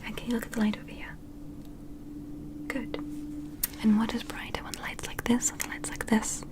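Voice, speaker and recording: Alien examiner for sci-fi ASMR roleplay, female performer: whispered, breathy, higher-pitched, gentle and clinical, politely inquisitive about humans. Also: little pitch movement, normal speed, natural voice. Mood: gentle, instructing.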